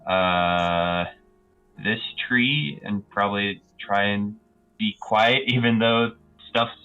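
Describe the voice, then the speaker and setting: Low, bright-voiced hesitant male player. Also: slow pace, clean tone, lo-fi sound. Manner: hesitant, piecing sentences together. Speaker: tabletop game player. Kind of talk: casual improvised description of character actions. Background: faint music.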